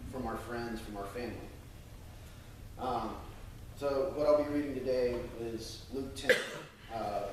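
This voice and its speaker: An American man speaking earnestly and a little haltingly to a congregation, as a church member sharing testimony and scripture. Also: mid-range voice. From United States. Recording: very echoey room, distant mic, faint noise.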